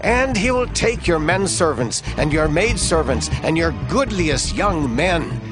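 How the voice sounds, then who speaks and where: A man's voice: a little high, big pitch swings, a little slow, music in the background. An actor playing a solemn Hebrew prophet warning his people, grave and declamatory, in a dramatized scripture reading. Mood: stern warning.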